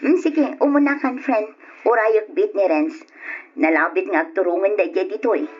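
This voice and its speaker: A woman's voice: mid-range voice, moderate pace, slightly rough, natural voice. An actress playing a cheerful, teasing female college student in an Ilocano radio drama, speaking with an Ilocano accent. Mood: cheerful, excited. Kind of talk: old radio drama acting. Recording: dull lo-fi radio drama recording.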